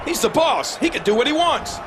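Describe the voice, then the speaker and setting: A man playing an excitable play-by-play announcer, calling a wrestling video game with dramatic emphasis. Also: very high voice, fairly quick. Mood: excited. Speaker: commentator. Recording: loud music and crowd behind.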